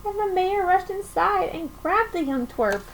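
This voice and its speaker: A woman reading a children's storybook aloud as a teacher at story time, in an animated style. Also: extremely high pitch, a little slow. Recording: faint background noise.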